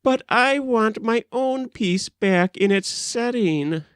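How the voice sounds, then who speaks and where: Man putting on a high-pitched plaintive young maiden. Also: animated, slow, a bit bright, smooth, no room sound, clean studio sound, exaggerated voice. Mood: plaintive, insistent.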